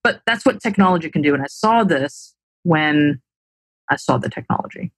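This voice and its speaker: A woman's voice: low-pitched, expressive, moderate pace, breathy edge, no echo. A woman in her thirties with a New Jersey accent, a guest on a video-call interview, explaining confidently and tagging her points with questions. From New Jersey, USA. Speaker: podcast guest, tech founder. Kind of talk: casual interview chat. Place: indoor room at home.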